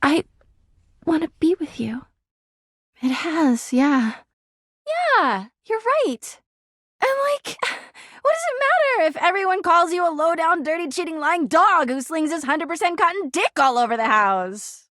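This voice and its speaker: A clingy, melodramatic girlfriend from a video game. Her voice sits high and swoops constantly with volatile, whiny emotion. She is jealous and needy, recorded dry and clean like studio character work. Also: big pitch swings, measured pace, a bit bright, dry recording, full character voice. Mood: earnest, smitten, then bitter, sarcastic. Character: clingy, jealous, melodramatic female living household object, toxic girlfriend.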